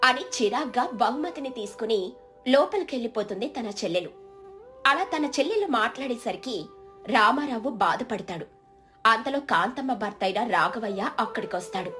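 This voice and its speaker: A woman's voice: a little high, expressive, moderate pace, fairly bright tone, faint music behind. A woman narrating a scripted moral story as its storyteller.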